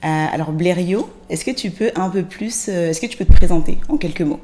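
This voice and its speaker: A woman's voice: lowish voice, brisk, dark tone. A young woman interviewing a podcast host, asking curious questions with frequent hesitations, into a studio microphone. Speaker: guest interviewer. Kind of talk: conversational interview. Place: studio set with plants and glass-block wall. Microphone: studio mic on stand.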